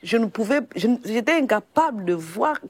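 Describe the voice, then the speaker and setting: Soft-spoken woman. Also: fairly high pitch, expressive, husky. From Democratic Republic of Congo. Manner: soft, halting, pausing often. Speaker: guest evangelist. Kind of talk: personal testimony in a faith talk show.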